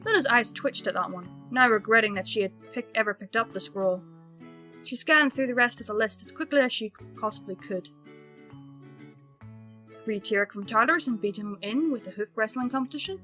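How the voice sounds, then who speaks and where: A woman's voice: on the high side, fairly quick, sharp tone, soft background music. A woman reading a fan fiction story aloud as its narrator, in scripted storytelling style.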